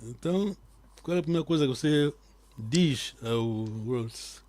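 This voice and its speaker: A man teaching a live online language lesson, quizzing his students and repeating key words slowly so they stick. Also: a little high, lively intonation, darkish tone, no room sound.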